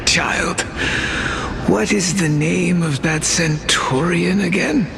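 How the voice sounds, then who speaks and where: An actor playing a kindly, absent-minded guardian in a video game, hesitant and gently reassuring. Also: male voice, high-pitched, slow pace, gravelly, a crowd in the background, heavily acted. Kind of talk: video game story acting. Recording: music playing under the voice.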